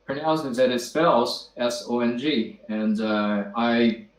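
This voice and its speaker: Measured Chinese-born man, echoey call. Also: mid-range voice. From China. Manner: measured, with frequent uh fillers. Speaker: guest, company founder. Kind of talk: self-introduction. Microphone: video call. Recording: roomy echo.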